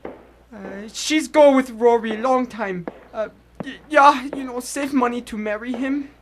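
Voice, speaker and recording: A gentle, feeble old man, speaks in broken, hesitant phrases. He sounds bewildered and stammers often. The old radio drama recording is clearly noisy. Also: extremely high pitch, unhurried. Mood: weak, bewildered.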